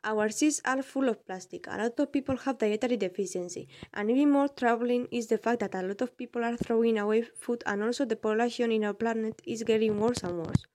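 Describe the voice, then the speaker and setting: Young woman, mellow voice. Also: fairly high pitch, a little fast, hardly any pauses, slight background noise. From Spain. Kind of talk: reading prepared answers.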